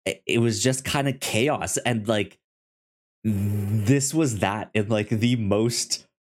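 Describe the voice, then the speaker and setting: Slow-paced, stammering man chatting about films. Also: on the low side. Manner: halting, stammering with repeated words and syllables. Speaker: podcast co-host. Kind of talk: casual film discussion.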